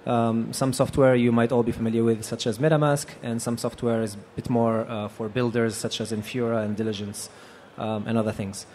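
A man in his thirties from Tunisia talks through a handheld mic on an expo hall stage. He speaks relaxed and conversational, with frequent ums, at a middle pitch. A faint hum of hall ambience sits behind him. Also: a little fast, few pauses. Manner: relaxed, conversational with many fillers. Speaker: panelist. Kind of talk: conference panel talk.

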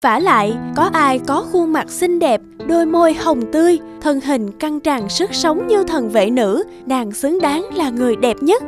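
A woman's voice: high voice, animated, normal speed, hardly any pauses. A woman reading scripted fairy-tale narration as a storyteller. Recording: music behind the voice. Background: music.

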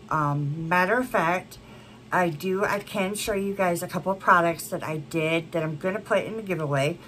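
Stuffy-nosed woman vlogging at home, slow and rambly, lowish voice, faint hum behind. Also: fairly bright tone, low-quality recording. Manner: slow, rambling, many fillers. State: congested from a cold. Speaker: beauty vlogger. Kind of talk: casual chat to camera. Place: home room with a plant wall and neon signs.

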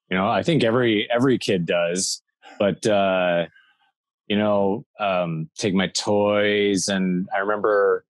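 A man in his thirties from the Midwest talks over a video call from a room lined with toy shelves. His voice sits low and sounds dry and close, and he wanders through his stories with plenty of ums and restarts. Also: no echo. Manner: rambling, many fillers and restarts. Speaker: guest toy maker and artist. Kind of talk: casual interview chat.

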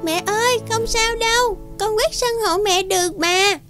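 A woman's voice: extremely high pitch, measured pace, a bit bright, faint music behind. A woman voicing a young village girl in a cartoon fairy tale, childlike and insistent. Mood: eager. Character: sweet little village girl who grows lazy and whiny. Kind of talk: animated fairy tale voice acting.

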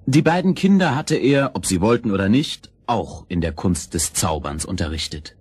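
A man's voice: fairly low pitch, animated, normal speed, darkish tone, slightly rough, no room sound. A man narrating a scripted fairy tale for a children's audio play.

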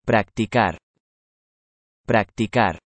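A man reading a vocabulary list in a measured way, saying each word twice for learners. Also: fairly low pitch, no echo. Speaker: Spanish vocabulary reader.

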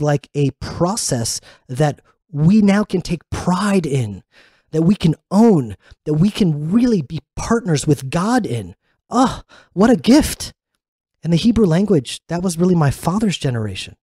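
A man giving an informal inspirational talk as a religious teacher, telling personal stories in an earnest, conversational way with animated emphasis. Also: medium pitch, breathy edge, dry recording, clean studio sound. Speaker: religious teacher and fellowship leader.

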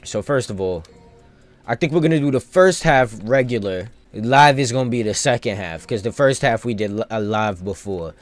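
A male video game streamer gives casual live commentary at a middling pitch. He talks in loose slang, thinking out loud and wandering from one thought to the next. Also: animated, moderate pace, no echo.

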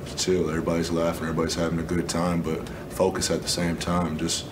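A man in his twenties, a basketball player, answering questions at a postgame press conference in a low-key, measured way, with lots of "you know" and "um". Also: monotone, background noise. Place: press room.

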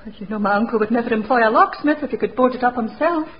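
Casting: actress as earnest young woman, lightly high voice, muffled radio drama sound. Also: fast, lightly acted. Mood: anxious. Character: earnest young niece of a domineering uncle. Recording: dull radio drama, faint noise.

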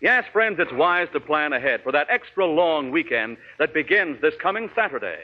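A man's voice: a little high, expressive, moderate pace. An American man reading a scripted commercial as a 1940s radio announcer. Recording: tinny narrow-band 1940s radio recording.

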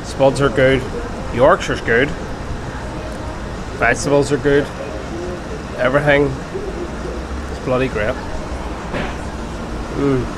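A man's voice: medium pitch, little pitch movement, slow, pauses often, very noisy. A man in his forties with a Northern Irish accent, casually reviewing drinks and food for his travel vlog on an outdoor terrace. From Northern Ireland. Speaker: travel vlogger. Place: open-air bar and restaurant terrace.

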